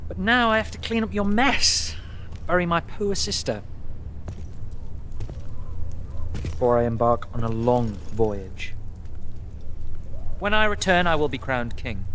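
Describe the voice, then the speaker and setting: A power-hungry prince of a villain, smug and cruel, in a video game cutscene. The voice sits at a middle pitch and taunts with haughty ease. There is slight noise in the background. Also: male voice, fairly bright tone. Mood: cruel, triumphant.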